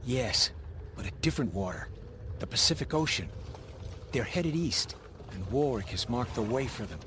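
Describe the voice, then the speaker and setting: A man's voice: mid-range voice, lively intonation, moderate pace, fairly bright tone, slight background noise, close to an everyday voice. Man playing a resolute frontier fighter, faint ambience. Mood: grimly determined. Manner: determined, earnest. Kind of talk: video game cutscene acting.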